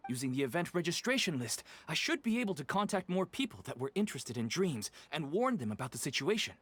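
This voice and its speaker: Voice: mid-range voice, big pitch swings, fast, a bit bright, slight background noise. An actor playing a polite, deferential event staff member in a video game. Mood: reassuring. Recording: game audio with background music.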